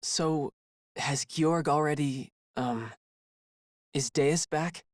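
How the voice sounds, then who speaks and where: A man's voice: on the high side, extremely slow. An actor voicing a kind, earnest teenage boy hero in a video game, sincere and pleading. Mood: gentle, relieved. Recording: loud music behind the voice.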